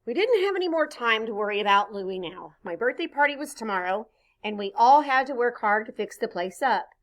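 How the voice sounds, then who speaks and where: A woman reading a children's story aloud expressively, pausing to chat with her listeners. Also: fairly high pitch. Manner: expressive, pauses to point things out and ask questions. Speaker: storybook reader.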